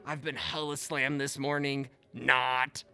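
A man's voice: mid-range voice, level tone, takes it slowly, bright, forceful tone, clear, resonant tone. A man playing a laid-back young stoner video-store clerk who drawls in slacker slang in an audio drama.

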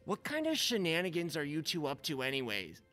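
A man's voice: a little high, wildly swooping pitch, fairly quick, pushed and bright, faint music behind, full character voice. Man playing a goofy slacker young dude. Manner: casual slangy, dude-and-bro talk. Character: slacker video store clerk, goofy laid-back young man. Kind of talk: comedic audio drama acting.

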